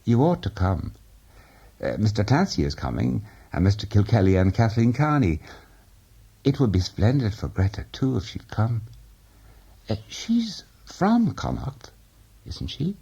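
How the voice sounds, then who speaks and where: A man's voice: on the low side, huge pitch swings, measured pace, creaky, dark tone, breathy edge, highs cut off, voice pushed up, full character voice. A man voicing an earnest young woman, who presses with probing questions. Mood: eager, then coaxing.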